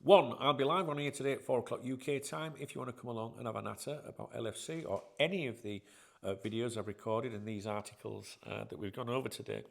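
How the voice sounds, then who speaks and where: A man, hosting his channel and chatting casually through articles, congested with a cold, swearing freely and getting louder when excited. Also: medium pitch, quick pace, vocal fry. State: bunged up with a cold. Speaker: channel host. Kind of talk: casual video commentary reading articles.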